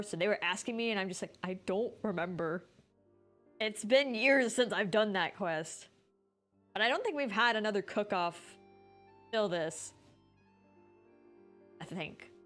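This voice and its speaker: A woman streamer giving casual, rambling, informal live commentary on a game. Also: mid-range voice. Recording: music behind the voice.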